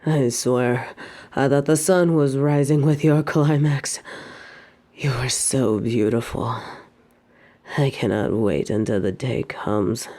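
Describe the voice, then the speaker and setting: A woman playing an evil, possessive queen in an intimate audio roleplay, speaking formally and seductively in a breathy voice that often drops toward a whisper. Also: very deep voice, dark tone, exaggerated voice. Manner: formal, regal, slow seductive delivery.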